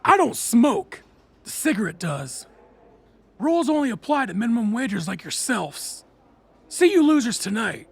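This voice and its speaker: A man playing a cocky, foul-mouthed, taunting bully in an audiobook, sneering and jeering in a raspy voice. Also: very high-pitched, big pitch swings, moderate pace, exaggerated voice. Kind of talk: audiobook character acting.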